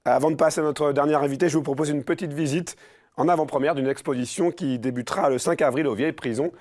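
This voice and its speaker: Fast-talking male TV host. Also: on the high side, steady tone, a little mellow. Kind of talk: interviewing a guest.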